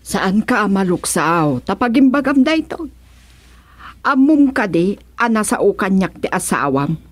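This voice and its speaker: A woman's voice: mid-range voice, big pitch swings, a little slow, a little hiss or hum. A woman playing a stern, concerned mother who admonishes, in an Ilocano radio serial drama. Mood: scolding. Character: stern, concerned mother scolding her grown child.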